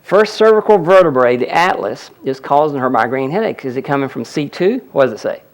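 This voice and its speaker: Gravelly man leading a seminar demonstration. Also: medium pitch, animated, brisk, rough recording. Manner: explanatory, audience-addressing, frequent "look at that". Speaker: healing seminar presenter. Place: seminar room with audience.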